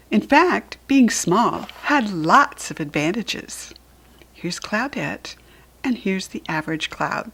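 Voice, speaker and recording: An American woman narrates a children's picture book with a highly animated, swooping pitch. Her pace is slow and deliberate, as if teaching young readers to follow along. She is expressive and adds small asides for the listener. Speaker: storybook reader.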